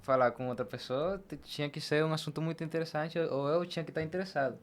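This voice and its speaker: A man's voice: a little high, steady tone, fast. Venezuelan-accented man, noisy background. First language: Spanish. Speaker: podcast guest, barber. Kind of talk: conversational interview answers, personal anecdotes. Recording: clear background noise.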